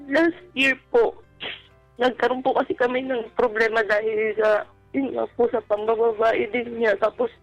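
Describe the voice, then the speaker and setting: Woman caller w/ really high voice, kinda halting n earnest, dull lo-fi line, music behind. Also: flat delivery. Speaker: caller to a radio advice show. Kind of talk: personal storytelling in a radio call. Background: background music.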